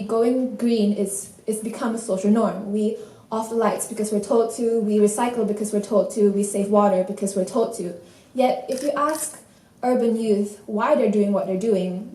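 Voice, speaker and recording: A woman's voice: on the high side, mellow. A teenage girl giving a prepared student talk at a conference. She speaks conversationally, with "um"s and dry asides. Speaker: student presenter. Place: conference hall. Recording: distant mic in an echoing hall with faint noise.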